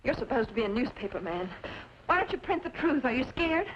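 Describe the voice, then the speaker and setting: An actress playing a spirited, righteous young schoolteacher in an old-time radio western. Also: female voice, sits high, very animated, brisk, slight background noise. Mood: indignant. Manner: feisty, righteous. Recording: muffled old radio recording with music.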